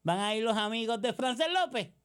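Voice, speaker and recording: A woman's voice: fairly high pitch, expressive, moderate pace, clean tone. A woman with a Puerto Rican accent delivering a combative political monologue, sarcastic and accusatory, full of rhetorical questions. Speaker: political commentator.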